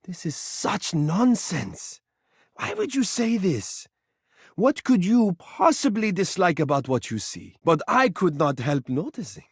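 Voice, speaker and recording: A male actor voicing a suave living mirror in a video game, an adoring flatterer who gushes with theatrical drama. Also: high voice, unhurried, vocal fry, breathy edge, no room sound, studio quality, heavily acted. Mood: doting, insistent, then awed, adoring. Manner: effusive, dramatic, gushing, doting. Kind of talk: video game character voice acting, romantic.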